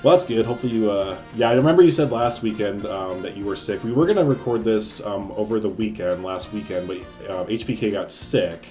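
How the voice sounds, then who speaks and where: A man's voice: lowish voice, a little fast, few pauses, dark tone, soft background music. A man co-hosting a casual gaming chat, upbeat and talkative, leaning on "like" and "um". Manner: upbeat, laughs often, lots of "like" and "um". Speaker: co-host of a gaming series.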